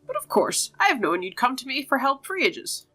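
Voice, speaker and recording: Game role: kindly old witch played by a woman, high-pitched, knowing, coaxing, never in a hurry, soft music underneath. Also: very animated, moderate pace, exaggerated voice. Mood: cheerfully knowing.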